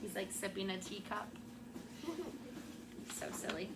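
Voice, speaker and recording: A woman teacher reading a picture book aloud to her class in an expressive storytelling way, with asides to the children. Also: mid-range voice, a little slow. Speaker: elementary school teacher. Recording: clearly noisy classroom recording.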